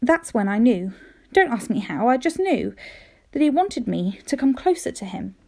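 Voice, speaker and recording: Female, storybook reader for children. High, lively pitch, soft-edged mellow tone, expressive delivery. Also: normal speed. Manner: animated, careful storytelling with dramatic emphasis. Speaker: teacher reading aloud to pupils.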